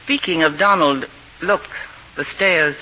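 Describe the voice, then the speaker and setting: A woman's voice: sits low, slow, bright, forceful tone, full character voice. A woman acting a sensible, no-nonsense middle-aged mother with a firm, matter-of-fact manner, in 1940s radio style. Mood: calm, practical. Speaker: radio drama actor. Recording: dull, faintly hissy old radio recording.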